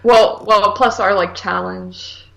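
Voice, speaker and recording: A woman with a noticeably creaky, medium-pitched voice chats as a podcast co-host. She is agreeable and informal, talking through films casually and peppering her sentences with "like". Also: steady tone, slow, low-quality recording. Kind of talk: casual conversational film discussion.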